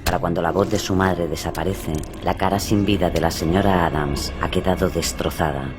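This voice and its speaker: A man's voice: low-pitched, steady tone, brisk. A man reading scripted, dramatic true-crime narration as the narrator of a radio horror play. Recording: music behind the voice.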